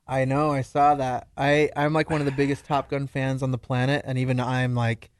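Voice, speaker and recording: A man's voice: mid-range voice, level tone, normal speed, clean tone, dry recording, clean studio sound. A man on a podcast panel, bantering and joking casually.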